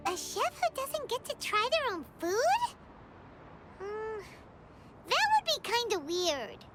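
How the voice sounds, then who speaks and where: A tiny, chirpy fairy sidekick from a video game. The voice is extremely high and squeaky, swooping all over in an inquisitive, childlike way. It takes its time, with music playing behind. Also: female voice, big pitch swings, slow pace, heavily acted. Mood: curious, musing. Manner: exclamatory, inquisitive. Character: small chirpy floating fairy companion, curious, childlike.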